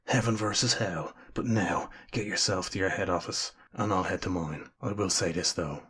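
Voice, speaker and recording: A man's voice: deep voice, normal speed, fairly bright tone, husky, full character voice. A man playing a grave, theatrical devil in a radio drama, prone to dramatic speeches of doom. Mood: stern, smitten. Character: male devil, dramatic doom-preaching lover of an angel.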